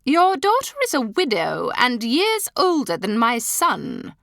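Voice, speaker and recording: A woman's voice: mid-range voice, very animated, slow, bright, forceful tone, no echo, clean studio sound, heavily acted. A woman reading the part of a haughty, imperious old dowager duchess with a cold, cutting manner.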